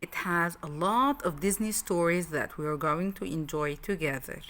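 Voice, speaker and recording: A woman's voice: on the low side, normal speed, fairly bright tone. A woman storyteller hosting a children's read-aloud, speaking expressively and straight to young listeners.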